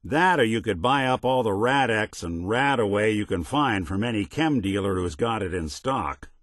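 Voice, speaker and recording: A man's voice: lowish voice, animated, normal speed, fairly bright tone, no echo, studio quality. A man voicing a weary, wry old-school detective robot with an American accent and hard-boiled noir phrasing, in a video game. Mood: wry, knowing.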